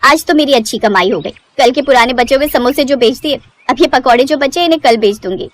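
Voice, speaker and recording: Woman as a greedy, high-voiced food seller. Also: a little fast, a little hiss or hum, natural voice. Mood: scheming.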